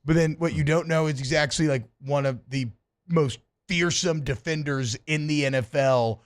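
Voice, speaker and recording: A fairly high-voiced man on a studio radio sports show, talking fast and loose in casual banter. He rambles, restarts and gets fired up as he talks. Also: clean tone. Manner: animated, swearing, rambling with restarts.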